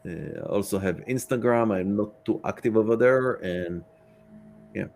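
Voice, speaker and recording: A man speaking as a podcast guest in a casual interview, with hesitant, halting phrasing. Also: lowish voice, vocal fry, a little mellow. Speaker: podcast guest, science fiction author.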